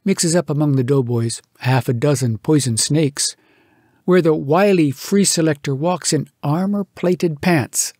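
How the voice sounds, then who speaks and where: A man reading humorous poetry aloud as a storyteller, in an expressive, measured, theatrical way. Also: on the high side, no echo, studio quality. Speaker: poetry reader. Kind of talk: dramatic storytelling recitation of humorous verse.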